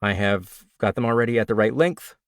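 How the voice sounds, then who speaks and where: A man with a slightly raspy voice, an audiobook narrator casually answering questions and sharing recording tips. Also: fairly low pitch, brisk, dry recording. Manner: relaxed, chatty, self-described nerd with frequent fillers. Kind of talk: casual Q&A livestream talk.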